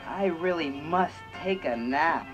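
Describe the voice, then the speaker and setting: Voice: mid-range voice, slow, muffled recording, close to an everyday voice. A read-along narrator voicing a tired, put-upon cartoon cat who whines and fusses. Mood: whiny. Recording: old read-along record with music.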